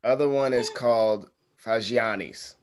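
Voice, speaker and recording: A man's voice: medium pitch, unhurried, lightly acted. A man playing an easygoing, confident young man in a casual, conversational table read of a screenplay. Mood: easygoing, decisive.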